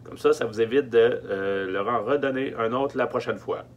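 A man with a Quebec French accent explains practical tips in an informal, insistent way. He pauses to search for words and repeats key ones for emphasis. His pitch is medium, and a faint hum sits behind him in a small storage space. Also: lo-fi sound. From Quebec, Canada. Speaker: film production logistics technician giving tips. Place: small wooden-lined storage truck interior. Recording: faint hum and background noise.

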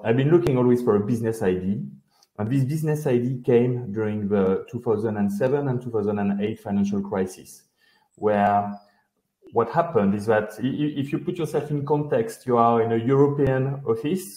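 A man's voice: lowish voice, dark tone. A man in his fifties from France with a French accent, explaining his background in a video call interview with frequent hesitations. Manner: explanatory, many hesitations and restarts. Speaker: guest, company founder. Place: indoor home office. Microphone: headset. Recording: roomy video call audio.

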